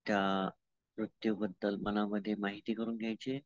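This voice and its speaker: A man with an Indian Marathi accent leading a guided meditation as a teacher, calm and unhurried, pausing between instructions. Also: fairly low pitch, dry recording. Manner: calm, unhurried, with long pauses and repeated instructions. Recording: dull lo-fi audio.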